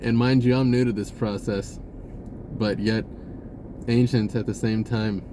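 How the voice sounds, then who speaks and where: A man giving a rambling, informal spiritual monologue in a halting, searching way, often repeating words and slipping into laid-back slang. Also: lowish voice, steady tone, noisy background. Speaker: spiritual vlogger.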